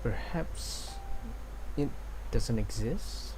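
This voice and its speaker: A man streaming a game, chatting casually and reading dialogue aloud in a hesitant, halting way. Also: mid-range voice, expressive, very noisy. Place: live game stream, game music behind.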